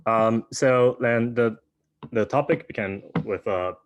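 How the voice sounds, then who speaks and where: A young man from Taiwan giving an online academic talk, soft-spoken with many fillers and restarts, over a video call. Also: mid-range voice, slow, no echo. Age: young adult. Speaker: guest speaker.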